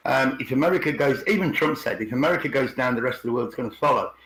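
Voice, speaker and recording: A man's voice: mid-range voice, animated, fast talker, a bit bright. Middle-aged man, opinionated casual talk, emphatic rephrasing, roomy echoey online call.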